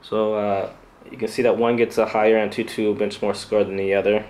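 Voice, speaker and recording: A man giving an unscripted product review, speaking in a hesitant, halting, off-the-cuff way as a channel host. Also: lowish voice, flat delivery, darkish tone, a little hiss or hum.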